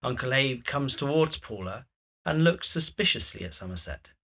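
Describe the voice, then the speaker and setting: A man narrating a period audio drama from a script, describing the scene in a husky voice. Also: mid-range voice, expressive, moderate pace, bright, forceful tone.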